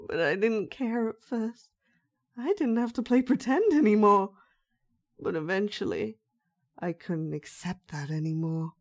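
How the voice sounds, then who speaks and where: A woman's voice: medium pitch, lively intonation, a little slow. A woman playing an unhinged, sweetly sinister young dollmaker in a horror audio roleplay, with childlike, sing-song menace. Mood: eerie, brooding.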